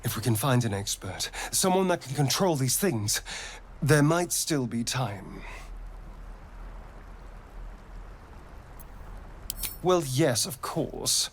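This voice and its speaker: A man's voice: medium pitch, sing-song, a little slow, slight background noise. An actor voicing a suave, sardonic male vampire magistrate in a video game, with an English accent and an arch, theatrical, evasive manner. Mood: wry, weighing options. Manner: arch, drawling, theatrical delivery. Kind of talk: video game character acting.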